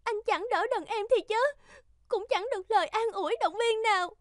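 High plaintive young wife. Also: female voice, fairly quick, no echo, clean studio sound. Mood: hurt, reproachful. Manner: plaintive, reproachful. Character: hurt, reproachful pregnant young wife. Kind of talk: cartoon dubbing.

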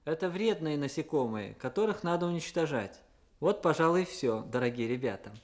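A man giving a prepared educational talk for children as a guest expert, in a measured, explanatory manner. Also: on the high side, expressive.

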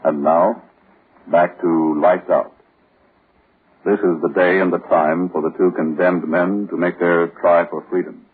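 A man's voice: deep voice, flat delivery, measured pace, a little hiss or hum. A man announcing in the scripted style of a 1940s radio show. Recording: muffled, lo-fi old radio recording.

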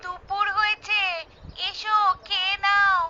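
A woman's voice: very high voice, flat delivery, measured pace, sharp tone, slight background noise, full character voice. A woman playing an indignant, protective village wife in a cartoon, with a gossiping manner. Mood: glad. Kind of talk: Bengali cartoon acting. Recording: dull lo-fi track, music behind.

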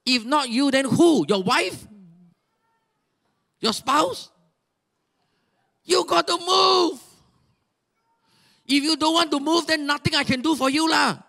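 Exhorting older Malaysian pastor, high-pitched. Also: male voice, slow pace, gravelly, long pauses. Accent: Malaysian English. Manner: animated, exhorting, rhetorical questions, bursts of shouting. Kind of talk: sermon. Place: large church stage. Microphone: handheld mic.

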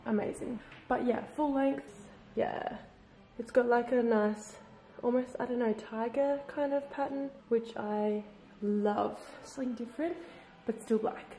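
A young woman rattles on to her handheld camera in a casual, gushing vlog voice. Her tone is soft and mellow rather than bright. The room around her rings with echo, and a faint hiss sits underneath. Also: on the high side. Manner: chatty, gushing, lots of "like". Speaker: vlogger. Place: home gym and kitchen, indoors. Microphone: handheld camera at arm's length. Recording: echoey room with faint noise.

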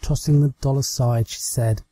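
A man's voice: medium pitch, fairly even intonation, moderate pace, dark tone, no echo. A British man reading a story aloud as a scripted amateur narration. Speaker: amateur fan fiction reader.

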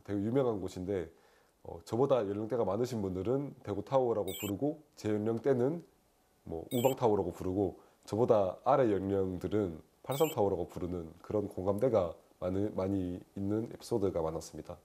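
Gyeongsang man, 30s, lapel mic interview, low mellow tone, easygoing. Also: brisk. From Daegu, South Korea. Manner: relaxed, earnest, frequent "uh" fillers. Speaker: webtoon artist. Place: indoor studio with shelves.

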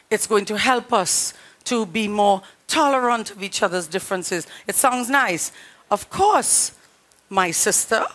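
A middle-aged woman pastor with a Trinidadian accent, a little hoarse, preaching earnestly to her congregation through a headset mic in a small studio. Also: mid-range voice, fairly bright tone. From Trinidad and Tobago. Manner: earnest, emphatic, direct address to congregation. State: slightly hoarse. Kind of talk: sermon.

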